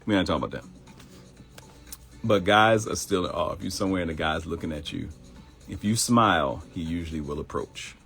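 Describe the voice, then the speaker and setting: A middle-aged man with a Baltimore accent talks to his live audience in a low, deep voice. His pitch swings widely as he tells stories and acts out quoted voices. Soft music plays faintly behind him. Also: fairly quick, long pauses. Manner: animated, acts out quoted voices, addresses viewers directly. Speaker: host of a live morning show. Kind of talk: casual livestream talk.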